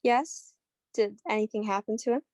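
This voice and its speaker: A woman's voice: medium pitch, fairly even intonation, moderate pace, darkish tone, no echo, natural voice. A woman playing a cool, dry-witted mystery woman in a radio-style detective drama. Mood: cool, knowing. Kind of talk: 1940s radio mystery acting.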